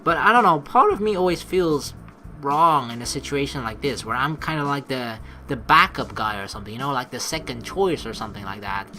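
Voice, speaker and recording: A man gives casual, rambling commentary on a game in a bright, mid-pitched voice. He thinks out loud in informal language, swearing now and then, with faint music playing behind him. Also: animated, a little fast. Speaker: let's play commentator. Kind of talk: casual game commentary with reading of on-screen text.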